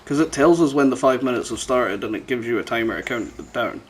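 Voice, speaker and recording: A man's voice: mid-range voice, lively intonation, fast talker, a little hiss or hum. A man hosting a casual podcast chat, talking in a sweary, teasing, bantering way. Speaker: podcast host.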